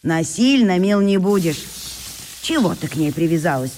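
A woman's voice: lowish voice, big pitch swings, normal speed, darkish tone, in a large hall, slight background noise. An actress playing a grumbling, curt old grandmother who is frying fish, in a radio play. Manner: curt, dismissive, folksy.

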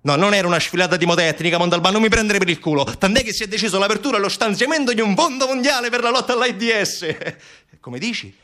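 A man's voice: sits high, lively intonation, quick pace, pushed and bright. An actor playing a sarcastic, indignant man in a theatrical monologue, arguing colloquially with an unseen friend through rhetorical questions. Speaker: stage actor.

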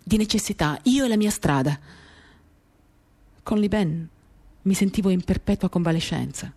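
A woman giving a dramatic, confiding first-person reading of literary prose, measured and intimate, in short reflective phrases. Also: mid-range voice, a little mellow, breathy edge. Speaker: reader of a novel for radio theatre. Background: acoustic guitar music.

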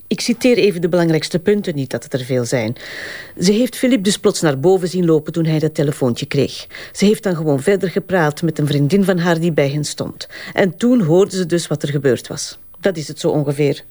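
A woman playing a formal, firm deputy prosecutor in a Flemish radio drama, speaking in a measured, curt way. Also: on the low side, mellow, dry recording, close to an everyday voice. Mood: businesslike.

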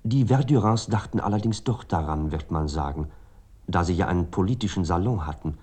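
A man playing a cultured, reflective first-person narrator in a literary radio drama, speaking in measured, flowing sentences. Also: low-pitched, animated, darkish tone, husky. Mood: reflective, wry.

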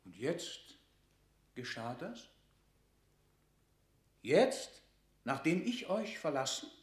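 A man's voice: fairly high pitch, big pitch swings, drawn-out words, reverberant, full character voice. An actor playing a shrewd, suspicious court statesman in a classical radio drama, cold and insistent, with pointed, emphatic rhetoric. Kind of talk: classical German stage-verse radio drama.

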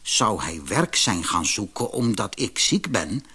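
A man's voice: lowish voice, very animated, measured pace, sharp tone, slightly rough, pitched below the performer's own voice, exaggerated voice. A man voicing a good-natured, gullible barber, earnest, in a storybook fairy tale. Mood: concerned. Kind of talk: storybook character voice.

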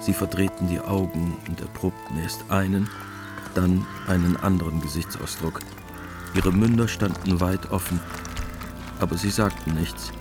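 A man's voice: low voice, steady tone, moderate pace, close to an everyday voice. An actor playing a sober male narrator of a literary war story in a radio play. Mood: grave. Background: music.

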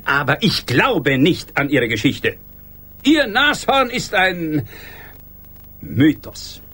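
An actor playing an argumentative, pedantic office sceptic in a radio play, declamatory and sarcastic. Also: male voice, on the high side, very animated, takes it slowly, bright, forceful tone, husky, full character voice. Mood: scornful.

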